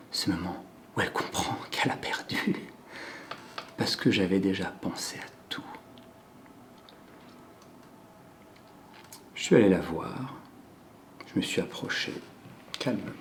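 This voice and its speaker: A man acting a whispering, cold psychopathic killer. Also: low-pitched. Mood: coldly calm. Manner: slow, hushed, deliberate delivery with drawn-out pauses. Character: cold, controlling male psychopath killer savoring his confession. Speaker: acting student performing a monologue. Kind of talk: theatrical dramatic monologue.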